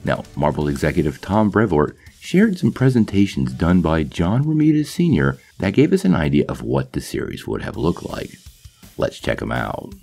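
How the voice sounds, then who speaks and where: A man with a low, gravelly, slightly husky voice narrates a scripted video with eager, playful energy. His pitch swings widely as he tells the story. Faint music sits beneath his voice. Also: wildly swooping pitch, moderate pace, darkish tone. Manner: enthusiastic storyteller with playful asides. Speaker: host of a comic nostalgia channel.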